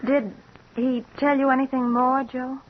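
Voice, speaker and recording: Gentle, anxious radio-drama wife. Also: female voice, high-pitched, level tone, measured pace. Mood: anxious, affectionate. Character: gentle, anxious ailing wife, trusting and affectionate. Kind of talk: 1950s radio drama acting. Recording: muffled, hissy vintage radio recording.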